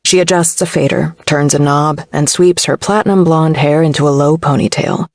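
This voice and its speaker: A woman's voice: low voice, fairly even intonation, normal speed, darkish tone, no echo, studio quality. A woman reading a first-person novel as an audiobook narrator.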